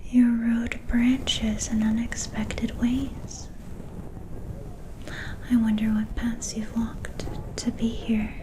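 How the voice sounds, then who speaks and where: A woman playing a mysterious, soft-spoken vampire seer in an ASMR fantasy roleplay, whispering in a breathy, ominous and cryptic way. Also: fairly high pitch, level tone, background noise. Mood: mystical, soothing. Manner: slow, deliberate, cryptic.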